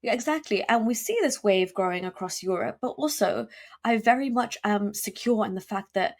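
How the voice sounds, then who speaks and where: Woman guest, emphatic and fast, full of fillers and restarts. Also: medium pitch. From United Kingdom. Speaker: podcast guest. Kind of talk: conversational interview.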